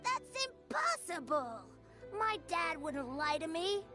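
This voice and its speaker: A woman's voice: extremely high pitch, very animated, takes it slowly, over music, exaggerated voice. A woman voicing a stubborn, earnest young village child in an anime video game. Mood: indignant.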